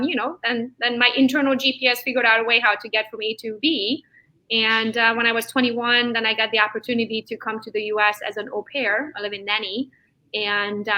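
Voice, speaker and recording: A woman around forty with a bright, fairly high voice, Hungarian by first language and raised in Transylvania. She tells stories in a lively way and laughs often as an interview guest. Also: rough recording. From Transylvania, Romania. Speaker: real estate agent guest.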